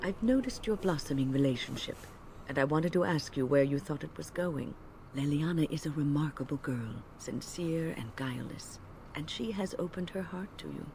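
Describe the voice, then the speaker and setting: Older lady mage in a fantasy game, creaky voice, serious n formal, gives measured stern advice. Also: low voice, a little mellow, slight background noise. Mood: gentle concern. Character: grave, wise older woman mage giving counsel. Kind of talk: scripted fantasy game dialogue.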